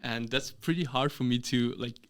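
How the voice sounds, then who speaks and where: A man's voice: mid-range voice, normal speed, clear, resonant tone. A man from Austria, a podcast guest, talking casually and hesitantly with many fillers. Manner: hesitant, full of fillers and restarts. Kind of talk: casual chat.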